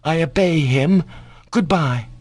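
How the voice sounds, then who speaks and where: Storybook schoolboy man acting: cocky, defiant, incisive, lifted pitch, bone-dry recording. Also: slow, no echo. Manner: loud, incisive, rapped-out delivery. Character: defiant, cocky schoolboy, sharp-tongued and insolent.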